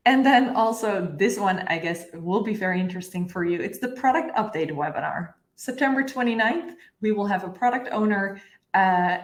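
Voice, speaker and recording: A woman in her thirties presents a live webinar as its host. Her pitch sits in the middle range for a woman. The room around her adds a clear echo over the video call. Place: bright office room with glass walls, indoors.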